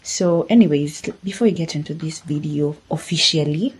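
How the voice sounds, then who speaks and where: A woman's voice: sits low, normal speed, slightly rough, low-quality recording. A woman chatting casually and earnestly to her viewers as a vlogger, in an informal way. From Kenya. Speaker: vlogger, host.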